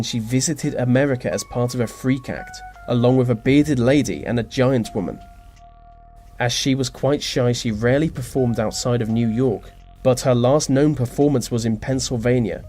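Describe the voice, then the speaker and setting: Dryly wry male narrator over music. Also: medium pitch. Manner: dry, wry deadpan asides. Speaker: narrator of a list video. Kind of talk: scripted narration. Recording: music behind the voice. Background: background music.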